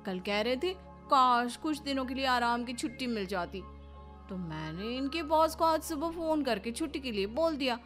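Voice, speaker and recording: A woman playing a nosy, naive young daughter-in-law in an animated family comedy, insistent and pleased with herself. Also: high voice, normal speed, soft background music. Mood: defensive, tearful. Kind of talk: animated Hindi family comedy story acting.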